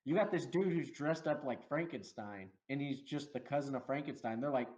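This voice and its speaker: A man co-hosting a casual movie review podcast, animated and laughing often as he acts out lines from the film. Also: medium pitch, normal speed, low-quality recording. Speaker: podcast co-host.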